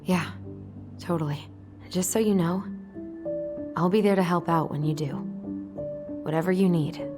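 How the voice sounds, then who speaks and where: An actress playing a teasing, supportive young sister in a video game, with an American accent. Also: female voice, on the low side, a little slow, over music, lightly acted. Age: young adult. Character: teasing, supportive young woman, loyal sibling. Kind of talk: naturalistic game dialogue.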